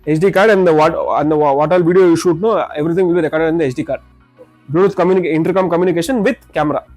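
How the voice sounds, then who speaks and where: Male, Indian accent, rapid salesman patter, slightly high voice, repeats himself while demonstrating products, music behind. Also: steady tone, darkish tone, no echo. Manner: repeats words and phrases while explaining. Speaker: shop salesman presenting products.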